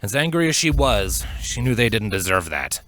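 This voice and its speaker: Casting: male story narrator, lowish pitch, very animated intonation, dramatic fantasy read. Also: moderate pace, slight background noise. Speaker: fan fiction narrator.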